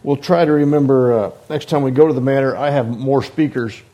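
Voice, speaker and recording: A man leading a Bible class into a microphone, talking hesitantly with many restarts and fillers and clearing his throat often. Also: medium pitch, a little fast, warm tone, lo-fi sound. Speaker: Bible teacher. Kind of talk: informal Bible class talk. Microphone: microphone on a sound system.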